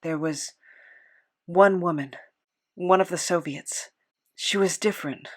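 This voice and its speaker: An actor playing a weary, haunted former captive in an audio drama, recounting a cruel past in a halting, measured way. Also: mid-range voice, natural voice. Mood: wistful, pained.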